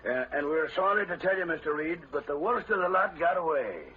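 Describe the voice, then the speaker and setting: An actor playing an exasperated police sergeant with a sardonic, scolding manner, in old radio style. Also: male voice, fairly high pitch, expressive, a little fast, natural voice. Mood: dry. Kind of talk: 1940s radio acting. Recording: muffled, noisy vintage radio broadcast.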